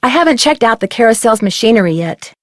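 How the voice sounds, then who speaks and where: A woman's voice: a little high, lively intonation, fast talker, no room sound, studio quality, lightly acted. A woman playing an earnest, friendly young amateur detective in a video game, talking conversationally. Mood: matter-of-fact. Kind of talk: scripted game voice acting.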